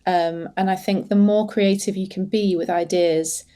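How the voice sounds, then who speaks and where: An English woman chats as a podcast guest about her work. Her voice is mellow and relaxed, and she talks in loose, hesitant runs full of fillers and restarts. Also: lowish voice, normal speed. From England. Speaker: guest, social media manager. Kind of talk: casual podcast interview.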